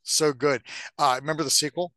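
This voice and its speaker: Higher-voiced man in a casual podcast chat. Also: steady tone, fairly quick, pushed and bright, dry recording. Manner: blunt, casually sweary. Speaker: podcast co-host. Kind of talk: casual opinionated film and game chat.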